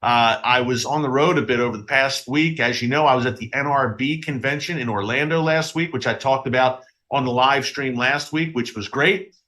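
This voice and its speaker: A man's voice: mid-range voice, moderate pace, sharp tone. A man hosting a live-streamed news commentary show from a studio, a little congested and sniffling, talking straight to his viewers with emphasis. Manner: direct address to viewers, emphatic.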